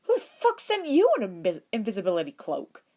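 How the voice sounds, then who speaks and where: A woman's voice: medium pitch, huge pitch swings, brisk, mellow, voice pushed up. A woman reading the part of a blunt, foul-mouthed man with a hot temper and a barking delivery in an audiobook. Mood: incredulous.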